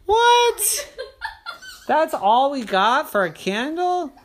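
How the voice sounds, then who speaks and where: A storyteller voicing a jumpy, scared teenage boy who shrieks in a very high voice. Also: fast talker, a little hiss or hum. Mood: frightened. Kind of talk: bedtime story acting.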